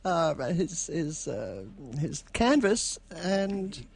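A woman's voice: on the low side, big pitch swings, drawn-out words, vocal fry, darkish tone, breathy edge. A woman co-hosting a radio show, chatting casually and reading literary prose. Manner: chuckles often while telling anecdotes.

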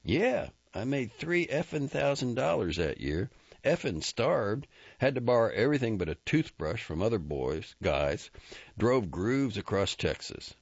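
A brash, restless young cowboy who rambles on in rough, profane country talk, as a male reader puts him on. The voice sits well above the reader's deep natural tone and keeps a gravelly edge. It is recorded close and dry, with a slightly muffled sound. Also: very animated, a little slow, no room sound, exaggerated voice. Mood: excited.

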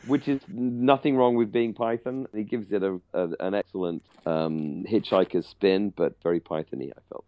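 A middle-aged Englishman with a low voice talks as a podcast co-host. He is chatty and informal, hesitating as he searches for words. The sound is dry and somewhat dull and lo-fi. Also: moderate pace, few pauses, no room sound. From England. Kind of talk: casual discussion of a radio drama.

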